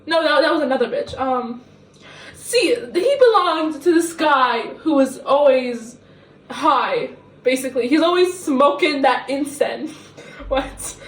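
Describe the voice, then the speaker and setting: A young woman from the Middle East who is not a native English speaker. She excitedly rambles through a book review, laughing often and mocking herself. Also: high-pitched, takes it slowly. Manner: giggly, excitable, self-mocking. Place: kitchen. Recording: echoey kitchen, camera at a distance.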